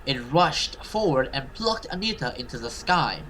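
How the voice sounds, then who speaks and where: A man's voice: medium pitch, big pitch swings, moderate pace, pushed and bright, slight background noise, poor audio. A man reading a horror visual novel aloud as a gamer, narrating it theatrically. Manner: theatrical, with casual swearing asides. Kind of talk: dramatic let's play narration of a horror story.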